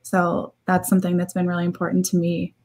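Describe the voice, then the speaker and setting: A slightly raspy, congested-sounding woman answering questions informally as a recruiting manager on a video-call panel. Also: fairly low pitch, completely flat delivery, fairly quick, darkish tone. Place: indoor room, video call.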